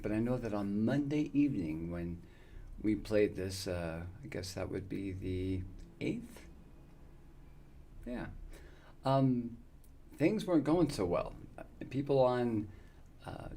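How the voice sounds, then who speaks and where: A man in his fifties, chatting casually and warmly with viewers on his live gaming stream, rambling with many fillers. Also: mid-range voice, slight background noise. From United States. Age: 50s.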